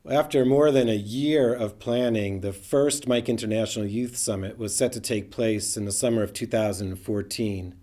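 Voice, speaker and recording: A man, a program organizer, narrating a documentary in an earnest, steady storytelling manner. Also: fairly low pitch, normal speed, few pauses.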